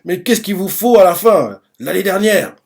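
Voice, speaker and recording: A man's voice: on the high side, normal speed, a little mellow, close to an everyday voice. A man voicing an angry warrior commander from a manga, bluntly scolding ungrateful soldiers in an indignant speech.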